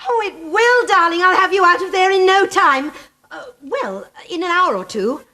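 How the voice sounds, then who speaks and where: An actress playing a flustered, doting middle-aged English housewife in a TV sitcom, with a theatrical, emotive way of talking. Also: female voice, very high-pitched, animated, normal speed, sharp tone, heavily acted. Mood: sweetly pleased. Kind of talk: TV sitcom acting. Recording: faintly noisy old TV sitcom copy.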